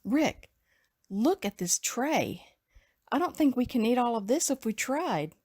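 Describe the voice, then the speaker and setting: Female survivor, acted by a woman: fairly high, slow, expressive and wary, comic drama. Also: husky, no room sound, studio quality. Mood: impressed.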